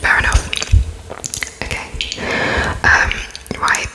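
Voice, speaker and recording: A person whispering as an interviewer in an ASMR roleplay, attentive and softly inquisitive, with halting phrases as if writing notes while speaking. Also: low-quality recording. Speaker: interviewer noting down answers.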